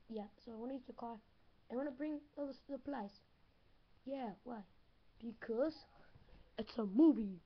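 A woman improvising toy-figure dialogue for a home video, halting and giggly, with lots of fillers and restarts. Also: fairly high pitch. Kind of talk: playful improvised toy-movie chatter. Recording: muffled lo-fi home recording.

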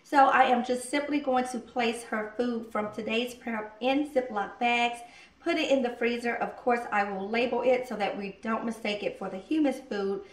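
A woman in her thirties talks through a home cooking vlog in a relaxed, chatty way, her voice sitting a little high. She is picked up from a distance by a camera mic. Her voice echoes around a hard-surfaced kitchen. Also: moderate pace. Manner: chatty, relaxed, laughs at herself. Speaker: vlogger. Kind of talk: casual cooking vlog narration.

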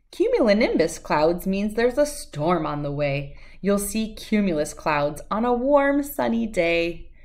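A middle-aged American woman speaking expressively and clearly as a host of children's storybook read-alouds. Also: on the low side, darkish tone. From United States, lived in Wisconsin.

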